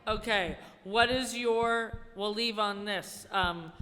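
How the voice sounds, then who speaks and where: Bright-voiced woman hosting in echoey hall. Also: mid-range voice, slow pace. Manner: informal, playful. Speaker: host, improv teacher. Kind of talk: casual interview, prompting guests. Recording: echoey hall, some background noise.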